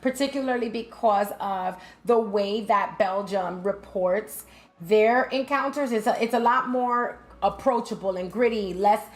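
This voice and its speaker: A woman's voice: medium pitch, measured pace. A woman from the United States hosting a show, talking casually and chattily, with frequent fillers and restarts, and putting rhetorical questions to her listeners. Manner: frequent fillers and self-corrections. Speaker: host. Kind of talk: casual talk-show monologue.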